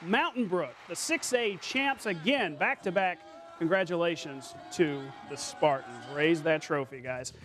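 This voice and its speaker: An American man anchoring a TV sportscast, calling highlights in a playful, colloquial, punchy way. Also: unhurried, fairly bright tone, soft background music. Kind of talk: scripted sportscast with highlight commentary.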